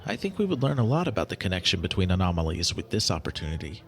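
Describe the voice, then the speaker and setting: An otherworldly researcher guy, lowish voice, formal, precise, detached but fascinated, audio drama. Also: very animated, fast talker. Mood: eager, scheming. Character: clinical, curious non-human researcher studying humans.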